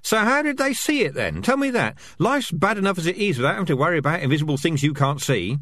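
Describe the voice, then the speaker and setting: A man's voice: sing-song, fast, a bit bright, dry recording, clean studio sound. A man voicing a squad of small, nervous, bickering male rats in an audiobook reading. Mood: uneasy. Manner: bickering, nervous, high-pitched chatter. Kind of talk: audiobook character voices.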